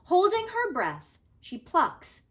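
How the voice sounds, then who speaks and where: A woman's voice: very high voice, huge pitch swings, takes it slowly, fairly bright tone. A woman teacher reading a children's story aloud expressively, with careful, dramatic phrasing and emphasis.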